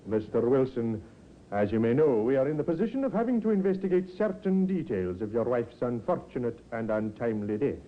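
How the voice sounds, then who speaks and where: An actor playing a calm, methodical male police inspector in a vintage radio drama, formal and politely insinuating. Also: medium pitch, a little fast, natural voice. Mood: politely probing. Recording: muffled, hissy 1950s radio recording.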